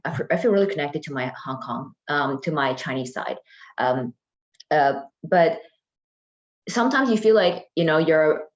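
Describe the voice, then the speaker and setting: A young adult woman from San Francisco, chatting casually on a video call with many fillers and restarts. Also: deep voice. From San Francisco, United States. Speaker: panel guest. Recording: muffled lo-fi video call audio.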